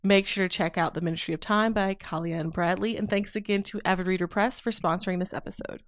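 A woman's voice: mid-range voice, fairly even intonation, quick pace. A woman on a podcast reading a sponsor message in an upbeat, scripted way. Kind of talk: read sponsor ad.

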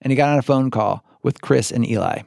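A man's voice: mid-range voice, brisk, creaky, darkish tone, no room sound, clean studio sound. An American man hosting and narrating a podcast in a scripted but conversational storytelling style.

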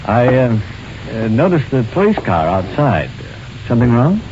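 An actor playing a smooth-talking schemer in an old radio drama, hesitant and evasive as he bargains and feigns innocence. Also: mid-range voice, big pitch swings, normal speed, darkish tone, natural voice. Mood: feigned innocence. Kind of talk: 1940s-50s radio drama acting. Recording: dull, hissy old radio recording.

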